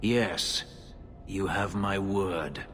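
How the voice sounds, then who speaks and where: Deep, grave ex-slave elf warrior; controlled, clipped; reverberant game audio with music. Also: male voice, extremely slow, fairly bright tone, full character voice. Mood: cold. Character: brooding elven male ex-slave warrior, bitter. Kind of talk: video game voice acting.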